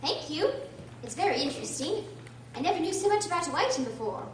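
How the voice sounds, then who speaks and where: A very high, lively little girl's voice acted by a woman rings out in an echoey room. She is prim, polite and curious, with firm opinions, in expressive radio-play style. The mic is distant and the recording noisy. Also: fast talker. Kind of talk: amateur radio drama acting.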